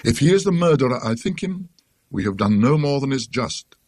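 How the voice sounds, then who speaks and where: A British man voicing a firm, righteous yet reasonable gentleman in a ghost story. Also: medium pitch, lively intonation, fairly quick, no room sound, close to an everyday voice.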